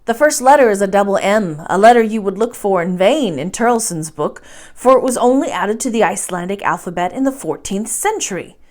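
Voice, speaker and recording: Excitable elderly male scholar, emphatic and declaiming, with a lively, swooping delivery, read by a woman. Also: medium pitch, a little fast, natural voice. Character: excitable old male professor, obsessive scholar.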